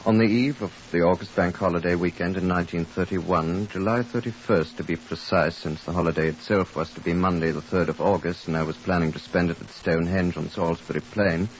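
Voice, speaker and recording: An actor playing a composed, weary Scotland Yard superintendent with a British accent and a dry manner, in 1950s radio drama style. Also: male voice, deep voice, a little fast, lightly acted. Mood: dry, measured. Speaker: actor narrating and playing a detective. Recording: muffled old radio recording with hiss.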